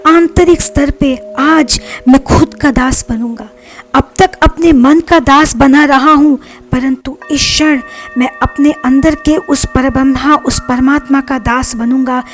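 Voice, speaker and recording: A woman leading a guided meditation as a life coach, speaking in a soothing, deliberate, instructing way. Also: sits high, fairly even intonation. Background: meditation music.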